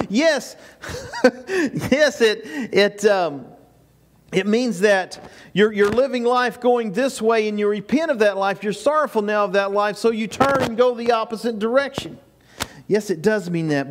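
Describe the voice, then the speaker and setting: High-voiced preaching older man. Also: background noise. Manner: preaching with rising emphatic bursts and repeated phrases. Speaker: pastor. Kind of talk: sermon. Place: small church sanctuary with projection screen. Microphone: far camera, no mic seen.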